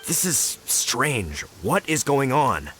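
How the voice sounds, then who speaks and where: Animated, earnest young villager. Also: male voice, mid-range voice, slow, soft background music, heavily acted. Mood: puzzled. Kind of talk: anime game voice acting.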